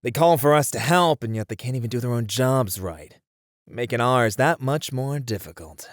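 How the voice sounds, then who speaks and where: A man's voice: medium pitch, lively intonation, moderate pace, no echo, clean studio sound, close to an everyday voice. A man playing a weary, frustrated detective in an ASMR roleplay, talking conversationally to a partner and thinking aloud. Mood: exasperated, venting. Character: weary, frustrated male detective venting about a serial case. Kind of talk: ASMR roleplay acting, spoken to an unheard partner.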